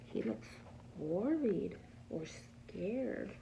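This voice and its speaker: A woman reads a picture book to preschoolers with very lively, swooping intonation and an unhurried pace. Her voice has a noticeable creak in it. She stops to ask simple questions and explains patiently, with faint noise behind her. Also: medium pitch, muffled recording. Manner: animated, asks simple questions and answers them herself; patient, instructive, explains simply for small children. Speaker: preschool teacher reading storytime. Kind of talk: expressive picture-book read-aloud with questions to young children.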